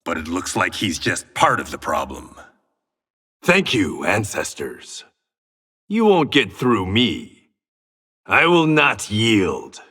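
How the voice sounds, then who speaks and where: An actor playing a proud, resolute blind swordsman in a video game, with a husky voice and a terse, defiant delivery. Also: lowish voice, huge pitch swings, slow, long pauses, bright, forceful tone, heavily acted. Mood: stern, defiant. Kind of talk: video game combat voice lines.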